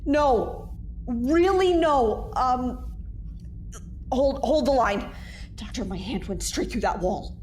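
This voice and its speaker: A woman's voice: high-pitched, lively intonation, slow, pauses often, darkish tone, a little hiss or hum. A woman acting in an audio drama as a sardonic, anxious paranormal investigator. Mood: panicked.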